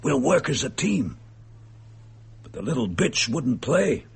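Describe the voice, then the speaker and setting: A man acting in a radio drama as a gloating, bitter murderer and impostor, with a husky, gravelly voice and a sneering, darkly amused manner. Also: medium pitch, very animated, unhurried, heavily acted. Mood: bitter, cold.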